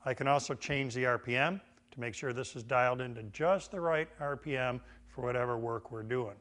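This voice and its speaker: A man's voice: mid-range voice, fairly quick, a bit bright. Middle-aged American man teaching, folksy. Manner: informal, folksy explaining. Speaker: woodworking instructor. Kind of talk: instructional demonstration to camera. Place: indoor woodworking shop.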